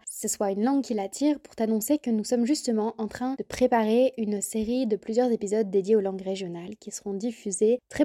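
Female podcast host, earnest and reflective, with a high, very mellow tone. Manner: earnest, reflective, addresses listener directly. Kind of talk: podcast interview.

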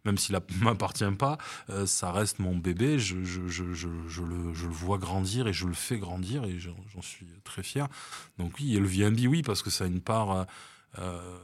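A man's voice: deep voice, moderate pace. A middle-aged man from France chatting in an interview, hesitant, with many fillers and restarts. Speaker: cinema manager, interview guest. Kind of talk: casual interview chat. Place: outdoors on a seafront promenade.

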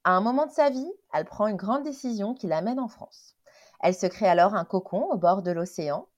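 A woman hosting a podcast, reading a measured, gentle introduction and interviewing her guest. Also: mid-range voice, animated. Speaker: podcast host. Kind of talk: scripted intro then interview.

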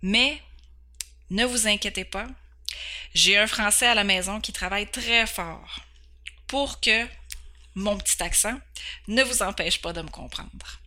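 Woman, 40s, Québécois accent; podcast host, earnest and candid, slight stumbles, crisp bright tone. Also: mid-range voice, measured pace, long pauses. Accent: Québécois French. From Quebec, Canada. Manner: earnest, candid, occasionally stumbling over words. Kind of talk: personal introduction.

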